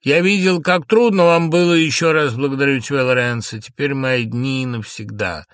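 A man's voice: medium pitch, moderate pace, a bit bright, no echo, pitched above the performer's own voice, natural voice. Stage drama duke read by a male performer: proud, agitated, declamatory, pleading, in a dry close sound. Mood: solemn, grave. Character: anguished, imperious Italian duke, proud and wildly agitated. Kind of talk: dramatic play reading.

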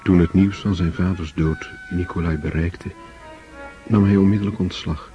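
Deep, dark-voiced male narrator, lo-fi. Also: steady tone, moderate pace, soft background music. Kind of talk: scripted literary narration. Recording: dull lo-fi old radio recording.

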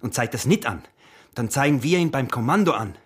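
An actor playing a greedy, scheming smuggler husband in a radio drama, with a husky voice, an Austrian accent and a muttering, wheedling manner. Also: male voice, medium pitch, expressive, brisk, natural voice. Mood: scheming, uneasy.

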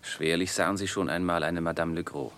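An actor in a German radio drama playing a suave, calculating gentleman with a courteous, measured manner. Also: low-pitched, fairly even intonation. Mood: urgent, courtly.

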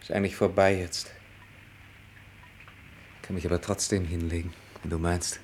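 A man's voice: sits low, level tone, normal speed, a little hiss or hum. An actor playing a weary, morbid, resigned man in a radio play, subdued and terse.

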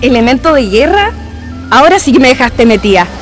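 Actress for curious young Chilean woman, wondering friend in radio drama, fairly high, music underneath. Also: very animated, a little fast. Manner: inquisitive, wondering. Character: Cecilia, curious young woman, wide-eyed visiting friend.

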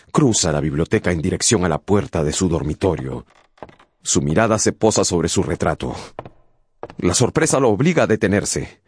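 A man narrating a radio drama in a scripted, theatrical and deliberate storytelling style. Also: low-pitched, darkish tone, breathy edge.

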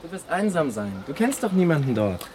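High, lively little brother voiced by a male actor. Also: fairly quick, slight background noise. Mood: wistful, curious. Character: curious, affectionate little brother, a young boy. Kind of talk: radio drama acting.

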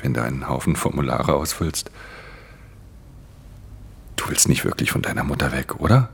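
A man playing a world-weary, guarded pickpocket who narrates a radio crime drama in an intimate, gravelly voice. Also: extremely low pitch, normal speed, a little hiss or hum. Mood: gruff, guarded.

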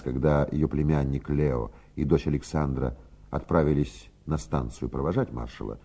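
A man reading scripted dramatic narration for a radio play in a measured, theatrical manner. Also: very deep voice, warm tone, breathy edge.

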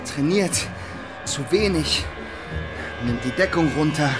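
Wry radio play narrator over music. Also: male voice, a little high, lively intonation, slow. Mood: wry, dramatic. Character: wry narrator recounting Prussian history in boxing metaphors. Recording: music under the voice.

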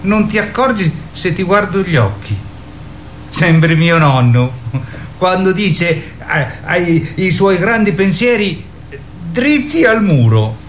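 A timid, simple-minded boy, speaking slowly and haltingly in a theatrical radio play. His pitch sits high and swoops widely as he repeats himself. There is faint noise in the background. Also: very animated, full character voice. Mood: earnest. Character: childlike simple-minded boy Cesare, timid, literal, rambling.

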